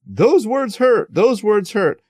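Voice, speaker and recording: A man hosting a casual conversational podcast, with halting, self-correcting phrasing. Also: sits high, very animated, a little mellow, no echo, studio quality. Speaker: podcast host.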